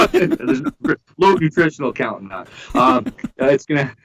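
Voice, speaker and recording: A man's voice: on the high side, huge pitch swings, normal speed, gravelly, noisy background. A middle-aged man from Canada in a casual interview, joking and full of fillers and restarts. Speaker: interview guest.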